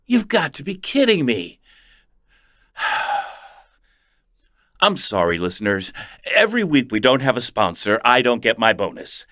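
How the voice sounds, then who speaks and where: Actor as a slow, theatrical radio announcer. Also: male voice, mid-range voice, sing-song, sharp tone. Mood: exasperated. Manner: theatrical, pompous. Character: self-important theatrical radio announcer, wry and exasperated. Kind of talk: old-time radio show announcing. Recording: long reverberant hall sound.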